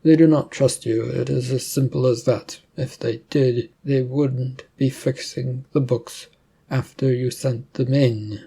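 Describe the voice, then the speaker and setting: Man playing a cold, gravelly government agent. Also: medium pitch, fairly even intonation, mellow. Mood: cold, blunt. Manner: cold, deliberate, formal. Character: cold, menacing male government agent, accusing and unyielding.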